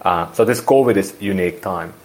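A man's voice: low-pitched, fairly quick, a little mellow. A man in his forties from Finland with a Finnish accent, speaking English as a second language and rambling through an interview with many restarts. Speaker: guest. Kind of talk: casual interview.

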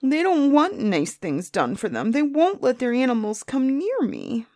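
A woman voicing a lonely, self-pitying five-headed male griffin in a storybook tale, slow and resentful. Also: sits high, very animated, a little mellow, no echo, clean studio sound, pitched above the performer's own voice, heavily acted.

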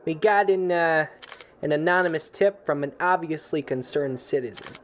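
A man playing a frustrated, obsessive police detective in an audio drama, halting and agitated, with a short fuse. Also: fairly high pitch, a little hiss or hum, natural voice. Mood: shaken, defensive.

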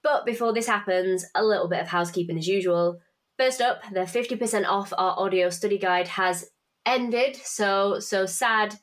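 A woman's voice: medium pitch, moderate pace. A young woman in her twenties, a podcast host, talking in a chatty, swearing, self-mocking way while congested from hay fever. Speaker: podcast host and finance tutor. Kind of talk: casual podcast chat with promotion.

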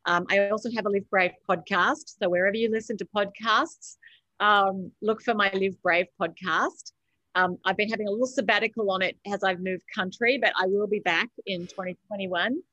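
Female podcast guest, Australian accent, mid pitch, emphatic motivational delivery that repeats key phrases, dry sound. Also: steady tone, normal speed, pushed and bright, dry recording, muffled recording. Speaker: podcast guest, author and coach. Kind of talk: motivational interview conversation.

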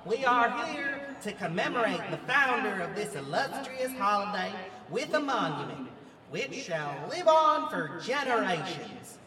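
A man's voice: high-pitched, sing-song, measured pace, sharp tone, echoey room, long reverb tail, recorded from a distance, a little hiss or hum, pitched above the performer's own voice, exaggerated voice. A man voicing a pompous small-town mayor who speaks in a grand, speech-making manner. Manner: grandiose, oratorical.

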